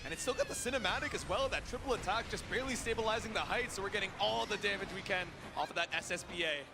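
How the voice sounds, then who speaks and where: A man doing energetic, slangy live play-by-play commentary on a fighting game. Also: high-pitched, quick pace, gravelly, noisy background. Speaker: esports commentator.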